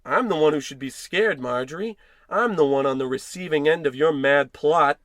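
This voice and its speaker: A man's voice: on the high side, moderate pace, fairly bright tone, dry recording, clean studio sound, close to an everyday voice. Man acting a brooding, paranoid husband, radio suspense. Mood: accusing, heated. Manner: theatrical, brooding, sardonic. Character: paranoid husband unraveling over a piggy bank. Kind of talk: old-time radio suspense acting, narrated monologue.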